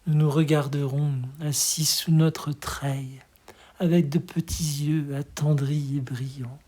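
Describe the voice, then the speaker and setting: A hushed, breathy voice giving an expressive, tender recitation of poetry in a measured way. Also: mid-range voice. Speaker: poetry reader.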